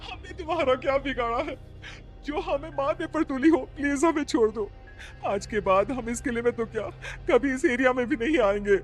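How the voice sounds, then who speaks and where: A man's voice: very high voice, moderate pace, soft background music, pitched above the performer's own voice. A man voicing a young woman in a cartoon horror story, pleading shrilly for her life. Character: sassy young woman turned terrified.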